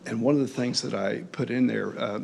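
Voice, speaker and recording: Gravelly-voiced man pitching to council. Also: a little fast, darkish tone. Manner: confident, folksy, persuasive. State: losing his voice, clearing throat. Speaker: developer presenting to council. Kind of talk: public meeting presentation.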